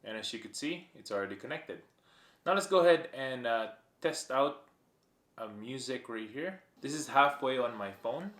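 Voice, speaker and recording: A man's voice: mid-range voice, lively intonation, moderate pace, fairly bright tone. A man narrating a casual hands-on product demo, pointing things out piece by piece in short phrases. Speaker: product reviewer.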